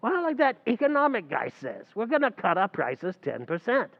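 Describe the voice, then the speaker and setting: A man doing a scheming tavern manager for a radio comedy, with a gravelly, high voice that swoops up and down. He talks with streetwise, mangled grammar. The sound is muffled and rings out in a long hall. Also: huge pitch swings, normal speed, exaggerated voice. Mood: wheedling. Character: scheming, fast-talking male tavern manager. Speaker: stage actor in a radio play recreation. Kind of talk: old-time radio comedy acting.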